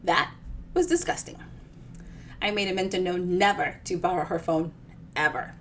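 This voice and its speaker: A woman giving an expressive storytime reading of their own fiction, with chatty, informal asides. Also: on the low side, moderate pace, slight background noise. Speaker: author reading their own novel on a live show.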